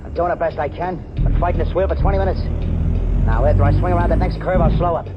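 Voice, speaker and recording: An actor playing a tough, bossy gangster getaway driver, curt and slangy, in a 1940s radio drama. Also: male voice, a little high, fairly even intonation, fast talker, loud music behind the voice, natural voice. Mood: tense, brusque. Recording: dull old radio, loud vehicle noise.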